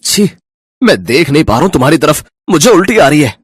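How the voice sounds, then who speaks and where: A man's voice: fairly high pitch, big pitch swings, fairly quick, slightly rough, no echo, studio quality. A man playing a shallow, cruel young husband who jeers with contempt, in a cartoon moral story. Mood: disgusted. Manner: jeering, contemptuous.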